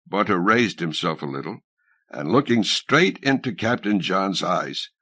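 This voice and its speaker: Man, scripted story narration, lowish voice, bright forceful edge, close-miked audiobook reading. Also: big pitch swings, unhurried, slightly rough, dry recording. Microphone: close studio microphone, dry sound.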